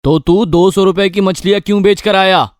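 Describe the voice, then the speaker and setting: A man's voice: sits high, steady tone, brisk, no room sound, studio quality, natural voice. A man voicing a scheming, bullying husband and master in a cartoon story, gloating and threatening with emphatic delivery. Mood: stern, threatening. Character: scheming, bullying lazy husband, a barber posing as magician master. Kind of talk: Hindi cartoon story acting.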